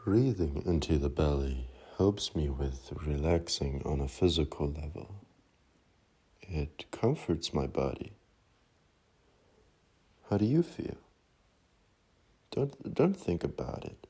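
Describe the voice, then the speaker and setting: Very deep, slow male meditation guide. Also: breathy edge. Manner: soft, calming, unhurried instruction.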